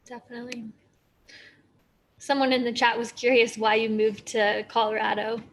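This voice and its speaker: A woman moderating a panel discussion, with a gravelly, even-toned way of speaking. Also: on the high side, moderate pace.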